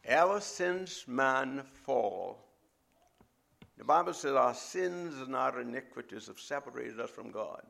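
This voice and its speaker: A middle-aged man with a Bahamian accent, preaching a sermon as a visiting preacher in a measured, emphatic way. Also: medium pitch, animated, gravelly, fairly bright tone.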